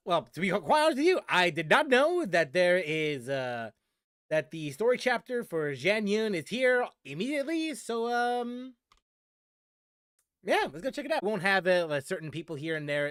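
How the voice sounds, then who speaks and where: A man with a fairly high, very lively voice chats informally to his stream viewers. He rambles in an easygoing, unscripted way. The sound is dry, with music underneath. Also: dry recording. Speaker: game livestreamer. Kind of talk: casual livestream chat to viewers.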